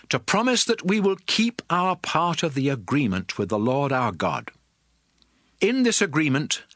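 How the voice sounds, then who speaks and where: A man's voice: on the high side, a bit bright, no echo, clean studio sound. An actor playing a solemn Hebrew prophet addressing his nation in earnest oratory for a dramatized Bible. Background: music.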